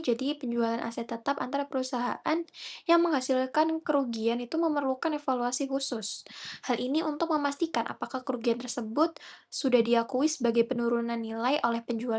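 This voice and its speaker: A young woman speaks in a fairly high voice. She works through prepared explanatory material in the style of a student presentation. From Indonesia. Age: young adult. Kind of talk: scripted accounting presentation.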